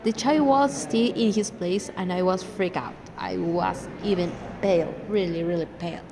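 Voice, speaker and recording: A young woman from Mexico speaking English with a Mexican Spanish accent, chatting excitedly and teasing her co-host on a podcast. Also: lowish voice, moderate pace, noisy background. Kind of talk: casual storytelling chat.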